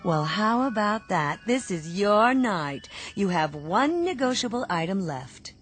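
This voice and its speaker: An actress playing a cool, mocking devilish dealmaker in a radio drama, sardonic and condescending. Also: female voice, medium pitch, sing-song, a little slow, soft background music. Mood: sly, mocking. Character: cool, sly, mocking devilish woman striking bargains.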